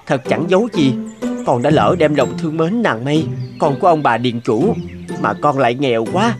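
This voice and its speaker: A man's voice: on the high side, animated, normal speed, music in the background, natural voice. A man playing a poor young woodcutter in love, deferential and hesitant, in a fairy-tale cartoon dub. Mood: shy, respectful.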